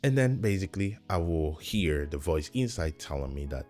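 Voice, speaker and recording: A man's voice: deep voice, expressive, moderate pace, darkish tone, soft background music. A man giving a casual, explanatory product demo, often repeating points and checking in with the viewer. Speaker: tech product reviewer.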